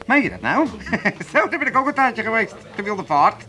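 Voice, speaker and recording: A man's voice: sits high, sing-song, moderate pace, pushed and bright, full character voice. An actor playing an older, sociable tippling father in a comic radio drama, with a rambling, complaining manner. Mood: jovial, chatty. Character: grumbling, sociable old tippling father and grandfather.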